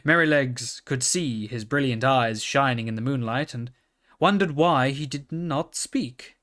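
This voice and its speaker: A man reads a children's story aloud as scripted narration. His pace is slow and measured, and his pitch sits in the middle range. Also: a bit bright. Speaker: storybook reader.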